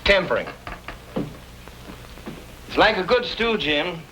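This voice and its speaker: Older male blacksmith, humble, folksy, radio western drama, widely swinging pitch, faint noise. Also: lively intonation, slow pace, bright, forceful tone, full character voice, low-quality recording. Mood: warm, sure. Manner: plain-spoken, folksy. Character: weak-sighted, humble, resigned frontier blacksmith. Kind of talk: old-time radio western acting. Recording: old radio recording with hiss.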